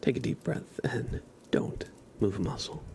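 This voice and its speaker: A man streaming live ASMR, gently and unhurriedly switching between whispering and soft speech, close to the microphone. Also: unhurried, slight background noise.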